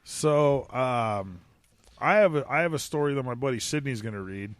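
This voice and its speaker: A man's voice: medium pitch, expressive, normal speed, dry recording. A young man hosting a crude, casual podcast, laid-back and swearing often. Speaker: podcast host.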